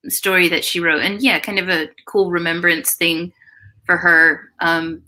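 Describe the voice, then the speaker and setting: A woman's voice: on the low side, sharp tone, rough recording. A woman co-hosting a livestream in a casual, chatty and informal way. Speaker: livestream co-host.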